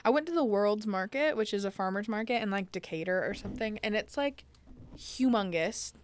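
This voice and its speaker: A woman's voice: mid-range voice, lively intonation, brisk, slight background noise. A young woman co-hosting a podcast, chatting playfully and holding her ground in a friendly argument. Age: young adult. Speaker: podcast co-host. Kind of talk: casual podcast banter.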